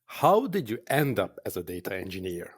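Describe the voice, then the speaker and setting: A man with a fairly low voice interviews a guest. He keeps his questions short and plain. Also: sing-song, moderate pace, breathy edge.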